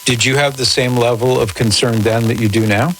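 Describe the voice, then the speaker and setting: A man hosting a radio call-in show, answering in a measured, articulate, conversational way with formal wording. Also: medium pitch, steady tone. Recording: noisy radio broadcast with static.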